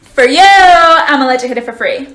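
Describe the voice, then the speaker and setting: Woman, highish voice, teasing, flirty, echoey room. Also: expressive, moderate pace, fairly bright tone.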